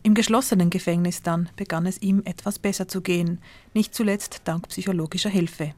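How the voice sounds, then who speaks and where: A woman reporter giving scripted, measured narration for a news feature. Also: fairly low pitch, steady tone, darkish tone. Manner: measured, descriptive.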